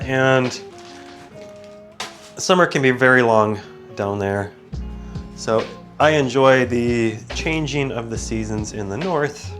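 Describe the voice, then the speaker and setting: A man talks in a medium-pitched voice that sounds a little stuffed up and sleepy. He rambles easily through a casual review, interrupting himself and drifting into side thoughts. Guitar music plays behind him. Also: a bit bright. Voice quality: slightly congested. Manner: easygoing, digressive, self-interrupting. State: groggy from waking up, with sinus congestion. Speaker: product reviewer and video host.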